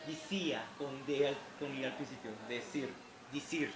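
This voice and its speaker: A man's voice: medium pitch, moderate pace, a crowd in the background. A young man at a convention Q&A, talking in an earnest, rambling way with restarts. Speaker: fan asking questions. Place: convention hall. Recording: echoey hall with background noise.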